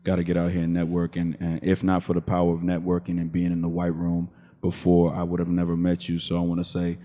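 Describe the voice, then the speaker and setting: A man hosting a casual interview podcast, laid-back and quick to answer with "okay" and "no doubt". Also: sits low, completely flat delivery, mellow, in a large hall. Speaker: podcast host. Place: room in a shared studio space.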